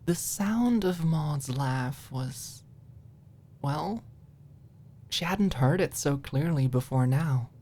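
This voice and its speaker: A woman with a low voice reads a scripted story as an audiobook narrator. The delivery is in the style of an ASMR audio narration. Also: animated, slow, pauses often, mellow.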